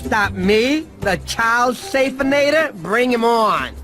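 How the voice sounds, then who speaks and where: Whiny silly sloth uncle, cartoon game, super high voice, all over the place. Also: male voice, lively intonation, slow pace, music in the background, exaggerated voice. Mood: boastful. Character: goofy, eager, self-pleased sloth uncle. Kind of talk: animated game voice acting.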